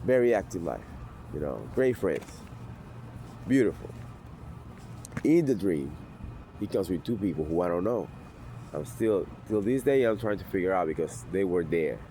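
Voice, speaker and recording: Deep-voiced man, blunt and intense. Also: animated, moderate pace, long pauses, warm tone, slight background noise, rough recording. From Venezuela. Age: 40s. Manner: blunt, intense, street-tough talk. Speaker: sobriety vlogger. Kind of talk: personal storytelling.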